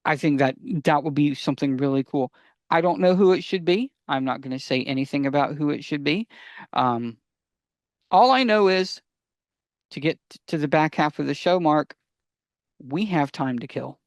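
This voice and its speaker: A man's voice: fairly high pitch, lively intonation, moderate pace, dry recording. A man co-hosting a casual fan discussion, speaking conversationally and addressing listeners directly. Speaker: podcast co-host.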